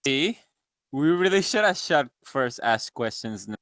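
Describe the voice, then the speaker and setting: A man's voice: mid-range voice, very animated, unhurried, fairly bright tone, no room sound, studio quality. A man bantering casually as a player in a tabletop role-playing game.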